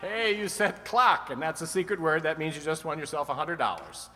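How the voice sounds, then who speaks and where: A man's voice: fairly high pitch, lively intonation, brisk, sharp tone, soft background music. A man playing a sly, teasing host of an old-time radio quiz show, full of dry wisecracks. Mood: upbeat. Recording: long hall reverb.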